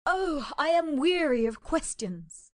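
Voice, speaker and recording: A tired, plainspoken spinster from a storybook, her voice a little high and lifted above the reader's own, as a woman puts her on. She speaks slowly and plaintively, each complaint drawn out. The sound is dry and close. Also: expressive, darkish tone, no echo. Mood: weary. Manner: plaintive, slow and heartfelt. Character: weary, plainspoken older spinster lady. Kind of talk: audiobook character reading.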